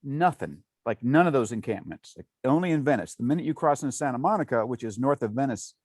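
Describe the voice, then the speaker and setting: A man chatting earnestly on a video call, often filling his pauses with "you know.". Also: mid-range voice, lively intonation, darkish tone, no room sound, clean studio sound. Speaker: table read cast member. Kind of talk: casual chat on a video call. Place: home near Venice, Los Angeles.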